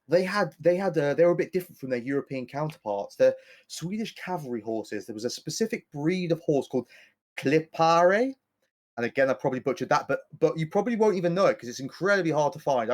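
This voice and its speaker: A man's voice: fairly high pitch, darkish tone. Rambling man co-hosting a history podcast. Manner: rambling, self-correcting, playful. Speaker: podcast co-host. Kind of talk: casual history podcast chat.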